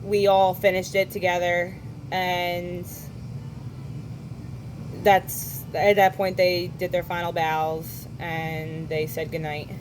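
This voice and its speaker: Hoarse young woman chatting casually. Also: on the low side, little pitch movement, background noise. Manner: chatty, with quick asides and self-corrections. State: hoarse from concert singing. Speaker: podcast host. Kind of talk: casual chat recounting a concert.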